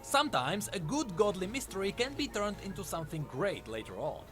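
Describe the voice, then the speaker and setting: Male storyteller for scripted fantasy lore, fairly high voice, dramatic and lively delivery over light music. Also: a little fast. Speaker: lore narrator. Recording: music under the narration. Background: background music.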